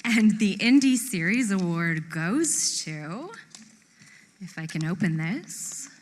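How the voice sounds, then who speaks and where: A woman's voice: medium pitch, lively intonation, slow. A woman presenting an award on a theatre stage in a formal ceremony style. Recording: reverberant hall, faint noise.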